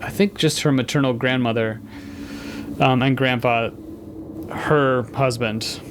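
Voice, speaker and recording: A man's voice: medium pitch, steady tone, unhurried. A man hosting a casual podcast conversation, asking questions with curious interest. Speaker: podcast host. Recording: audible background noise.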